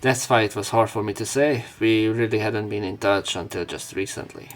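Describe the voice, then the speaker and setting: Low-voiced man reading a visual novel aloud. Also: fairly even intonation, normal speed, faint music behind. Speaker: let's player. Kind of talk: let's play commentary, reading visual novel text aloud.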